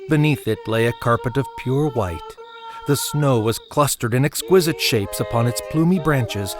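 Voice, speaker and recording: A man narrating a Christmas story in an audio drama, with measured, expressive literary delivery. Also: mid-range voice, lightly acted. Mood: measured wonder. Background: music under the voice.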